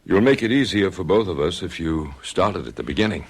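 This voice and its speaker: An actor playing a patient psychiatrist who prompts with brief, measured questions. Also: male voice, low-pitched, animated, vocal fry, breathy edge, heavily acted. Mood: calm. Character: calm male psychiatrist, patient and probing.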